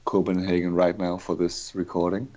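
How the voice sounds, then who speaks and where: Danish man, very low register, muffled remote audio; relaxed guest with wry asides. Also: normal speed. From Denmark. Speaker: podcast guest. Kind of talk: casual conversation.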